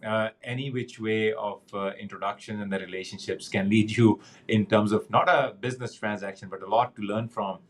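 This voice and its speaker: A man's voice: fairly low pitch, steady tone, brisk, hardly any pauses. A middle-aged man from Pakistan, a banking executive guest speaking earnestly in an interview, with frequent fillers and restarts. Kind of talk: conversational interview.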